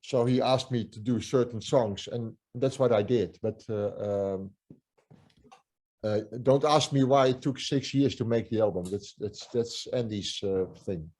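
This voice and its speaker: An older man, a musician guest telling stories in a casual interview, halting with many restarts and fillers. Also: medium pitch, darkish tone. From Netherlands.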